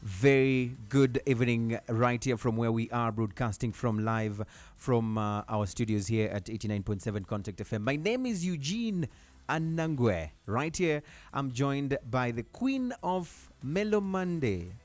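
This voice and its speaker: A man's voice: medium pitch, animated, unhurried, a little mellow. A man hosting a radio interview in a studio, often stumbling and repeating words as he asks questions. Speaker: radio show host.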